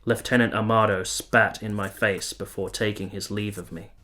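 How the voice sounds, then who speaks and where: An actor playing a weary, earnest, lovestruck army officer who narrates a radio play in solemn, literary, confessional language. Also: male voice, lowish voice, fairly even intonation, moderate pace, pushed and bright. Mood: grave, subdued. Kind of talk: radio play, first-person letter narration.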